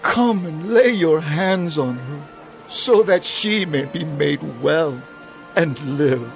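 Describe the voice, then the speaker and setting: Pleading father, slow, raised voice. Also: on the high side, expressive, soft background music. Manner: earnest imploring. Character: pleading, anxious father, a synagogue ruler.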